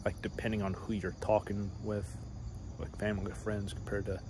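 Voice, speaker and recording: Man in his thirties, low voice, halting vlog ramble, night crickets loud in the background. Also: flat delivery. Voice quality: fairly deep voice. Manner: hesitant, full of fillers and trailing thoughts. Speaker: vlogger. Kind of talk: rambling casual monologue. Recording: loud cricket noise behind voice. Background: crickets and insects at night.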